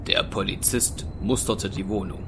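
A man's voice: low-pitched, steady tone, moderate pace, gravelly, background noise. A man narrating a scripted audio drama as its storyteller. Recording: loud music under the voice.

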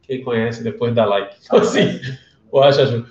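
A man's voice: medium pitch, fairly quick, darkish tone, reverberant, dull audio. A man from Brazil with a Brazilian Portuguese accent, giving a casual live-streamed class and telling stories as he questions his listeners. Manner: chatty storyteller, questions the listeners.

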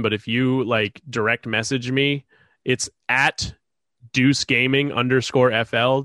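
A man's voice: mid-range voice, sharp tone, no room sound. A stuffed-up man hosting a casual sports podcast, rambling with lots of fillers. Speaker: podcast host.

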